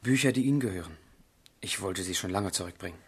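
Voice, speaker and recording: A man's voice: sits low, expressive, fast, husky, no room sound, exaggerated voice. An actor playing a passionate, tormented young man in a literary radio drama, speaking in formal, intense language. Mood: subdued, yearning. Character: passionate, tormented young man of feeling, letter-writing lover. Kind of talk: German literary radio drama, monologue and dialogue.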